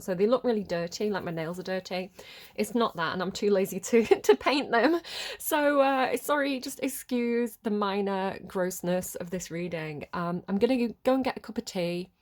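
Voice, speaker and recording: A woman's voice: medium pitch, a little mellow. A woman with a cold, sniffly, giving a casual tarot reading in a rambling way, full of fillers and small laughs. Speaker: tarot reader.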